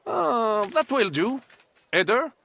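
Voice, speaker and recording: An actor voicing a suave, patient foreign gentleman who teaches courteously in a video game. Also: very high voice, sing-song, slow pace, gravelly, full character voice. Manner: courteous, instructive, flowery.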